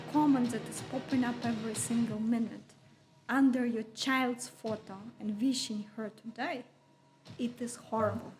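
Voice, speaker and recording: A woman in her thirties from Russia, speaking English with a Russian accent as an interview guest, earnest and emphatic with light laughs. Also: a little high. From Russia (Siberia). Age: 30s. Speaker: podcast guest, marketing entrepreneur. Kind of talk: conversational interview.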